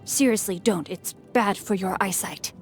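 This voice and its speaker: A woman's voice: medium pitch, normal speed, close to an everyday voice. A woman voicing a bookish, mischievous young noble boy in a video game, speaking in refined, formal phrases with a playful, teasing manner. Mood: playful, insistent. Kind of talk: scripted game character voicelines. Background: background music.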